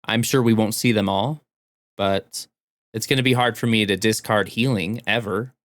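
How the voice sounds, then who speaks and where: A man's voice: on the low side, fairly even intonation, moderate pace, a bit bright, no room sound. A man chatting casually and enthusiastically about video games as a podcast co-host.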